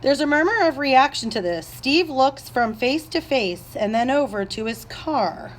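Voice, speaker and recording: A woman's voice: high voice, animated, measured pace. A woman narrator reading scripted stage directions aloud.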